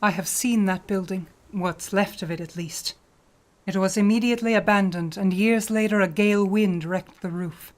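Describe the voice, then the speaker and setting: A woman's voice: medium pitch, lightly acted. A woman playing a composed, knowing investigator of the uncanny in a radio play, matter-of-fact and formal. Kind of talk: radio play acting, storytelling.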